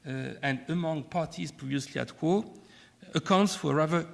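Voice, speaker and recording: A man from France with a French accent, delivering an academic keynote lecture in a measured way, often pausing and repeating words. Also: fairly high pitch, vocal fry, big-room sound. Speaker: keynote speaker. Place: lecture hall.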